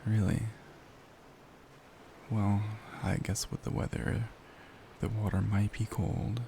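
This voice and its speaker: A man playing a caring, worried boyfriend in an intimate ASMR roleplay, speaking softly and soothingly, often near a whisper. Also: fairly low pitch, little pitch movement, slow pace, creaky, a little hiss or hum. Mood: concerned, gentle. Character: caring, worried young boyfriend comforting his partner.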